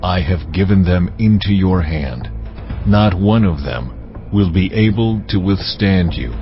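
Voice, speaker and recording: An actor playing a majestic, reassuring God in a dramatized audio Bible. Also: male voice, sits low, expressive, a little slow, lo-fi sound, soft background music. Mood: majestic assurance.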